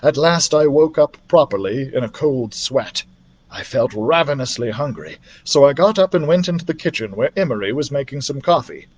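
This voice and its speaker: Animated male storybook narrator. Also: mid-range voice, moderate pace, highs cut off. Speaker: library storyteller.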